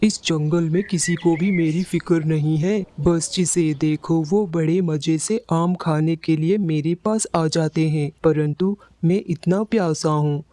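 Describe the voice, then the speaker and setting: Slow, pleading old-style talking tree acted by a man. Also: on the high side, warm tone, dry recording, close to an everyday voice. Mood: pleading, sorrowful. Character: huge thirsty sorrowful talking male mango tree. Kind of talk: Hindi children's cartoon story acting.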